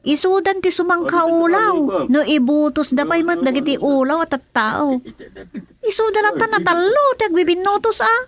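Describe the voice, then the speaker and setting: A woman's voice: extremely high pitch, moderate pace. A woman with an Ilocano accent playing a dutiful village secretary in a radio drama, reporting politely.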